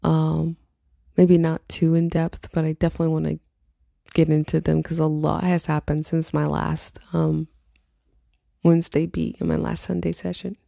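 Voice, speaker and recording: A woman's voice: level tone, moderate pace, warm tone, no room sound, clean studio sound. A young woman hosting her casual solo podcast, sounding congested and stuffy, rambling and laughing at herself as she talks. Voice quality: low husky morning voice. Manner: rambling, laughs often, self-mocking. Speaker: podcast host.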